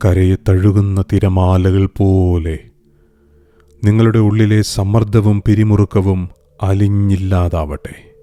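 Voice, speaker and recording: A man guiding a scripted meditation in a calm, soothing, gentle manner, pausing deliberately between phrases. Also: sits low. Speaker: meditation guide. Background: music.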